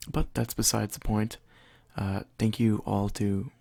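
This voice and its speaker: Low-voiced young man chatting casually. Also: flat delivery, measured pace, vocal fry, darkish tone, no room sound. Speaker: ASMR video creator introducing a roleplay. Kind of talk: casual unscripted chat to viewers.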